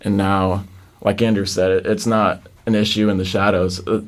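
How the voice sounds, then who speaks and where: A man's voice: fairly low pitch, flat delivery, moderate pace. A young man, a college soccer player, talking casually on a podcast with lots of fillers. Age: young adult. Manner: casual, plain-spoken, many fillers.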